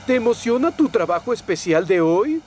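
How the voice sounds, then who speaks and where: A man voicing a kind, helpful steam engine in a Latin American cartoon dub, friendly and gentle. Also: sits high, big pitch swings, normal speed, a little hiss or hum.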